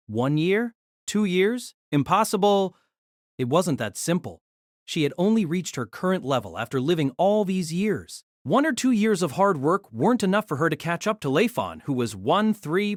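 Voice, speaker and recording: A narrator reading a novel aloud in an expressive storytelling manner. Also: medium pitch, normal speed, no room sound, studio quality.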